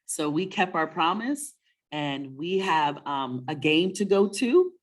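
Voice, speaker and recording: A woman co-hosting a children's story webinar, chatting casually in a warm, encouraging, motivational way and often checking in with questions. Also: low voice, lively intonation, takes it slowly.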